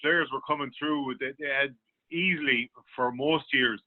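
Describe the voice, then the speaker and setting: A man's voice: mid-range voice, fairly even intonation, normal speed. An Irish man from the Clare area discusses sport as a journalist on a radio panel. His voice comes through thin and phone-like, with a conversational, colloquial way of talking. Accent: Irish, County Clare. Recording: phone-like, narrow-band sound.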